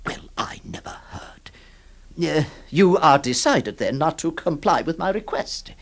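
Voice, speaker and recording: A man's voice: on the high side, big pitch swings, a little slow, gravelly, heavily acted. An actor playing a flustered, hesitant lawyer who stammers, also narrating his story in a radio drama. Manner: stammering, exasperated, theatrical.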